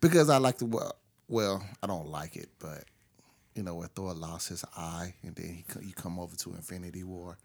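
A man on a podcast panel talking casually about films, piecing his thoughts together in a halting way. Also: low voice, gravelly. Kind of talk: casual film review chat.

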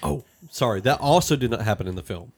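A man's voice: medium pitch, animated, fast talker, vocal fry, husky. A man chatting casually as a podcast panelist, putting in brief remarks about a film. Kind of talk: casual chat, brief interjections.

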